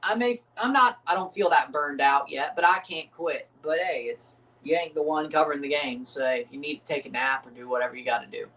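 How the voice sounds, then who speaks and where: A man's voice: on the high side, a little fast, few pauses. Male streamer, casual, rambling watchalong chat, slightly scratchy voice, mic at a distance. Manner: rambling, excitable, repeats himself. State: voice getting scratchy from overuse. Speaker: streamer hosting a match watchalong.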